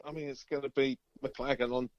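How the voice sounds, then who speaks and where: A man's voice: medium pitch, little pitch movement, brisk, dry recording. A middle-aged man chatting casually as a musician being interviewed, hesitant and rambling with a chuckle. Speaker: interviewed musician, band member. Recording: dull, lo-fi band-limited line.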